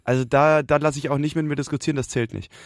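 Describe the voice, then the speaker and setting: A man's voice: on the high side, steady tone, fast talker, no echo, clean studio sound. A young man, co-hosting a casual podcast chat, opinionated and slangy. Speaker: podcast co-host.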